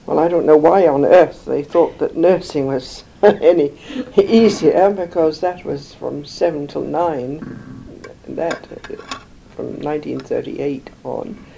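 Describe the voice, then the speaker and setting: An older woman from England, slowly recalling her life in an interview, rambling with many pauses and fillers. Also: low voice, creaky, mellow, a little hiss or hum, poor audio. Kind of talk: oral history recollection.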